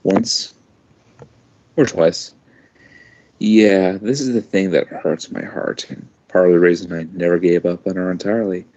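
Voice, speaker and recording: Guy with a low voice doing a casual fanfic read, kinda halting, muffled lo-fi recording. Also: fairly even intonation, a little mellow. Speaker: amateur fan fiction reader. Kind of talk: informal read-aloud of a first-person story. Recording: dull lo-fi home recording.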